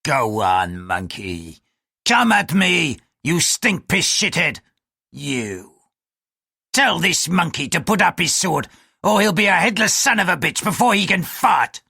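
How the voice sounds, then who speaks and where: A man's voice: fairly high pitch, sing-song, measured pace, creaky, sharp tone, slightly rough, no room sound, clean studio sound, pitched above the performer's own voice, full character voice. A man voicing a crude, lecherous male ship's bosun who leers and threatens. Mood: threatening. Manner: coarse, leering, threatening.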